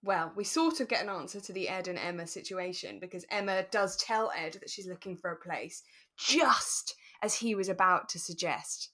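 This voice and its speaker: Woman in relaxed podcast chat. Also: on the low side, normal speed. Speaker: podcast co-host. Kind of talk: casual chat reviewing a radio drama.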